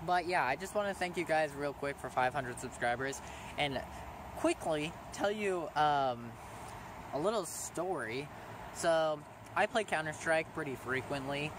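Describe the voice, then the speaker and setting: A teenage boy vlogging to his viewers, rambling with frequent ums and pauses. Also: on the high side, lively intonation, noisy background. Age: teens. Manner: rambling, hesitant, many ums. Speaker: small YouTuber thanking subscribers. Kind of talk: casual vlog to camera.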